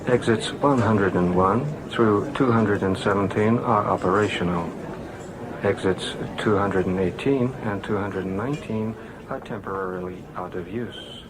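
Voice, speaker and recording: An actor playing an impersonal automated canteen announcer in a radio drama, reading notices formally. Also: male voice, fairly low pitch, moderate pace, background noise, lightly acted. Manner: formal, official.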